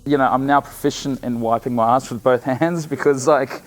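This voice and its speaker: A man's voice: mid-range voice, steady tone, brisk. A man swearing his way through a rambling story. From Samoan heritage. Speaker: DJ, podcast host. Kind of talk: casual drunken storytelling.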